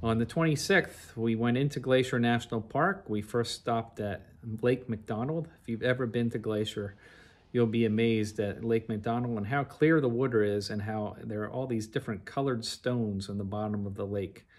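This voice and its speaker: A middle-aged man with an average-pitched voice tells the story of a trip. He narrates conversationally, going through it in order and with plenty of detail. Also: fairly even intonation, moderate pace. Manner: recounts in an orderly, chronological, detailed way. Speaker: narrator of a travel slide show.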